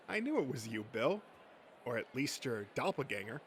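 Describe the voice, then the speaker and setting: A man's voice: medium pitch, very animated, normal speed, a bit bright. Man acting an easygoing male geek, awkward, hesitant, self-conscious, conversational, comic dub, slight noise. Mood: awkward friendliness.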